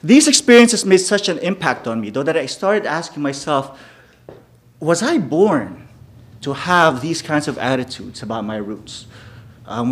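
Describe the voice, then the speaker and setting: A man's voice: medium pitch, a little hiss or hum. Man telling personal stories to a crowd. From Philippines. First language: Filipino. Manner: keeps checking in with the crowd with "okay?". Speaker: speaker. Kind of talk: personal storytelling talk to a live audience. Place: venue before a live audience.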